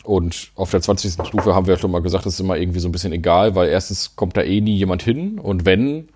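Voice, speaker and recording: A man with a low voice talks casually on a podcast about tabletop role-playing rules. He chats loosely, laughs often and leans on fillers as he reads through the rules. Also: quick pace, darkish tone, slight background noise. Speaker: podcast co-host.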